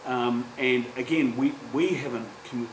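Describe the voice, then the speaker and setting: A New Zealand man in his forties speaking on a public panel, earnest and halting, with frequent restarts. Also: mid-range voice. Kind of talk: panel discussion. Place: university lecture room. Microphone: table microphone, distant. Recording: echoey room with background hum.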